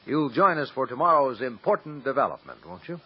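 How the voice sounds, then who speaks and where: A man as radio announcer, giving a smooth, inviting scripted narration with a husky voice. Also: mid-range voice, big pitch swings, brisk. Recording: dull lo-fi old radio recording.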